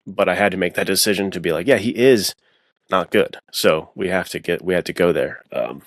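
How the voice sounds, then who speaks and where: Deep-voiced man in casual conversation. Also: brisk, dry recording, studio quality. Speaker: guest author. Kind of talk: casual podcast discussion of fiction writing.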